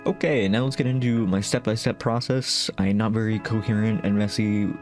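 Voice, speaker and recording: Rambling low-voiced man, self-deprecating chat. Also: steady tone, normal speed, darkish tone, faint music behind. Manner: rambling, self-deprecating, many fillers and restarts, laughs often. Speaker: vocal synth song cover creator. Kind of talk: casual FAQ chat to camera.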